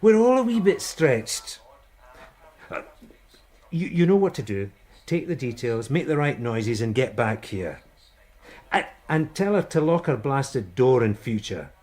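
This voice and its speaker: An actor playing a weary but genial police detective sergeant in a radio drama, with a wry manner. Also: male voice, mid-range voice, unhurried, long pauses. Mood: harassed, weary. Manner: wry, animated, hesitant asides.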